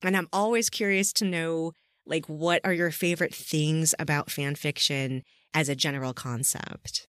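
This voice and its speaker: A woman's voice: low voice, steady tone, normal speed, fairly bright tone, no echo, clean studio sound. A woman hosting a podcast, chatting casually and enthusiastically with a guest as she interviews. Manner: effusive, enthusiastic.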